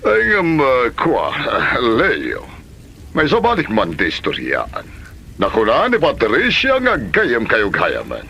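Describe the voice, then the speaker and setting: A man playing a middle-aged company boss and protective, probing father in a comedy drama, speaking with deliberate authority. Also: mid-range voice, huge pitch swings, vocal fry, pushed and bright, slightly rough, lo-fi sound, full character voice. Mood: probing, serious. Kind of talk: Ilocano comedy drama acting.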